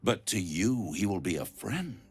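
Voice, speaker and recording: A man voicing a suave, ancient, scheming devil in a video game, silky and grandiose, savouring words with dramatic pauses. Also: on the low side, big pitch swings, husky, soft background music, exaggerated voice. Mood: persuasive, scornful. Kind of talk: theatrical video game villain monologue.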